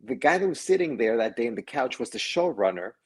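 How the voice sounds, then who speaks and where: A man's voice: medium pitch, fast, husky. A man from Mexico, an actor guest in a casual interview, telling stories animatedly and acting out the voices of people he quotes, with frequent laughs. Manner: animated storyteller who acts out quoted voices, laughs often.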